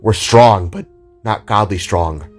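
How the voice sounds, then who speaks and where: A man voicing an earnest, worried little hero in an audio drama, speaking with deliberate urgency. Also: fairly low pitch, soft background music. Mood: determined.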